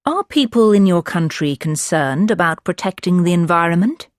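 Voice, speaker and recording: A woman reading exam questions in a clear, even, formal way. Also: fairly low pitch, normal speed, no room sound, clean studio sound. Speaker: examiner voice on course audio.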